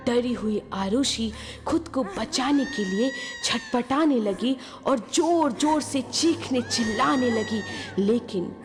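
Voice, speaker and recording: A woman tells a scripted horror tale as a dramatic narrator. Her voice sits fairly high and moves slowly, with music playing clearly behind it. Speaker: story narrator. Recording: long reverb, music behind.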